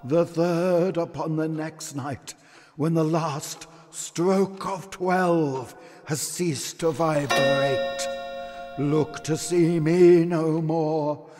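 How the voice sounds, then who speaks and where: A man's voice: a little high, steady tone, a little mellow. A man playing a mournful, ominous Victorian ghost in an audio drama, solemn and portentous, drawing out his words. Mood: solemn, commanding. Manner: slow, solemn, drawn-out, portentous delivery. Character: mournful, ominous male ghost warning an old partner. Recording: long cavernous reverb with music.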